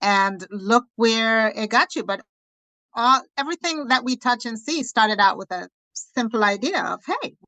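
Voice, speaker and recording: A woman's voice: on the high side, lively intonation, unhurried, sharp tone, no echo. A woman hosting an interview over a video call, responding in an upbeat, affirming way. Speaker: podcast host. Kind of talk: casual interview chat. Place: home office, indoors. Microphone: video call webcam.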